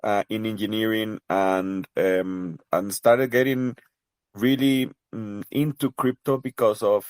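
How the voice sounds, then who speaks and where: A man with a Costa Rican Spanish accent talks in a fairly low voice, recorded dry and close with almost no room sound. He explains things thoughtfully and casually, pausing on fillers and restarting his sentences. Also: fairly even intonation, clean tone, no room sound. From Costa Rica. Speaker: guest, crypto project representative. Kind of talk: casual interview conversation.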